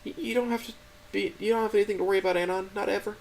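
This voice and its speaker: A man voicing a gentle young female dinosaur who stammers and quivers as she pleads tearfully. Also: high voice, fairly even intonation, very fast, gravelly, slight background noise, pitched above the performer's own voice, low-quality recording. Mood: soothing.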